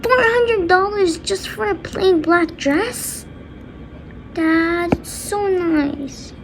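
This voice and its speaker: A spoiled teenage daughter played by a woman pleads in a homemade skit. The voice is high and whiny, stretching words out slowly as it begs. Faint noise sits in the recording. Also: expressive, exaggerated voice. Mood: pleading. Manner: whiny, drawn-out begging. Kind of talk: homemade skit role-play.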